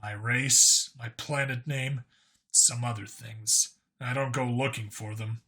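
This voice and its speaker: A man's voice: mid-range voice, steady tone, slow, bright, forceful tone. A man voicing an irreverent human cop in a science fiction story, with a casual, sarcastic, grumbling manner. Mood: subdued.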